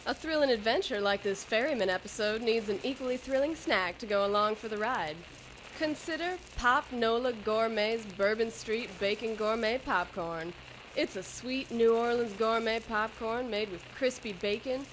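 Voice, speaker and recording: A woman's voice: a little high, a bit bright, slight background noise, rough recording. A woman announcer reading a folksy scripted sponsor advertisement.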